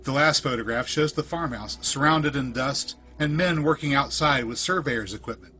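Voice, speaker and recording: A man reading a scripted first-person spooky story in a measured, deliberate storytelling manner. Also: mid-range voice, bright, forceful tone, faint music behind. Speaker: narrator of a creepypasta podcast.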